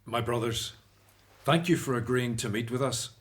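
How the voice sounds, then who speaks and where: An actor playing an earnest, devout Hebrew prophet and leader in a radio Bible drama, with a solemn, declamatory manner. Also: male voice, medium pitch, normal speed, husky, natural voice. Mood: solemn, pleading.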